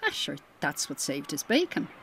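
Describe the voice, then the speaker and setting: An actress playing a chatty, admiring working-class gossip of 1912 in a radio drama. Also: female voice, low voice, wildly swooping pitch, normal speed, fairly bright tone, a little hiss or hum, heavily acted. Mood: gossipy, admiring. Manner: colloquial, gossipy.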